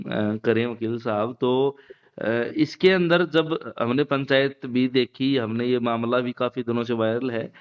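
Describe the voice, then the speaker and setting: A man's voice: medium pitch, clear, resonant tone. A man conducting a news interview over the phone, asking questions in a hesitant, roundabout way with filler phrases. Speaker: interviewer, local news reporter. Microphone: phone call. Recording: muffled, lo-fi phone call recording.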